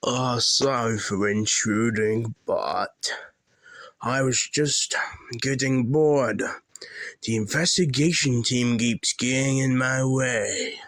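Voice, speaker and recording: A man's voice: mid-range voice, steady tone, slow, fairly bright tone, dry recording. A man playing a deranged, bored young killer with a taunting, casually menacing manner in an amateur horror drama. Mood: casual menace.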